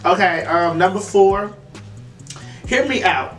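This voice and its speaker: A young man interviewing a musician in a relaxed, chatty way with many fillers. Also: sits high, slow pace. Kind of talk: casual interview chat. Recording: echoey room, distant mic, faint music.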